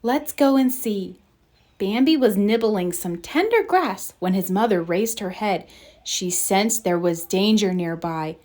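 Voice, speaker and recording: A woman reading a children's story aloud in an expressive, deliberate storybook narration style. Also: medium pitch. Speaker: storybook reader.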